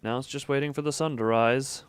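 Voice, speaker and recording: A man's voice: mid-range voice, steady tone, fairly quick, clean tone, no room sound. A man streaming a visual novel, reading the narration aloud and breaking off for casual asides and small laughs. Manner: reads narration evenly, breaks off for chuckling asides.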